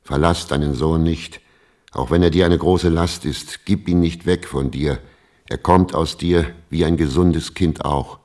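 A solemn old rabbi in a radio play. The voice is extremely low. He speaks gravely and with measure, like one delivering prophecy. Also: steady tone, a little slow, darkish tone. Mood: stern, dismissive. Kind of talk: German radio play acting.

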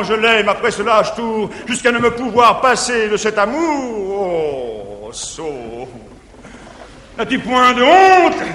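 An actor playing a jealous, tormented middle-aged guardian, declaiming classical French verse comedy in a grandiose, anguished manner on stage. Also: male voice, very high-pitched, gravelly, pushed and bright, exaggerated voice. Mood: despairing, tender, then furious, anguished. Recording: 1950s theatre recording, echoey hall, faint hiss.

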